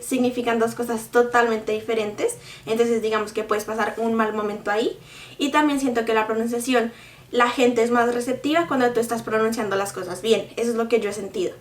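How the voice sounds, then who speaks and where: A young woman talks to her viewers in an earnest, explaining way, her voice sitting a bit high. She sounds set back from the mic in a room with a noticeable echo. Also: distant mic. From Colombia. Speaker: vlogger. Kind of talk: casual talk to camera.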